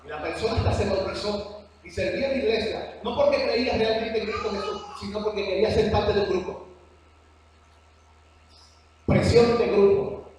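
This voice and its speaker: A man with a Cuban accent preaching a sermon to a church class, earnest and slow on his emphatic phrases. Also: sits high, darkish tone, a little hiss or hum. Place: church hall. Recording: echoey hall from far off.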